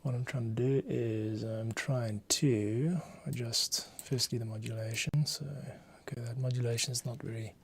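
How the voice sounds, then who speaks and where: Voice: mid-range voice, fairly even intonation, moderate pace, few pauses, breathy edge. A composer thinking aloud casually, muttering a self-directed running commentary while working.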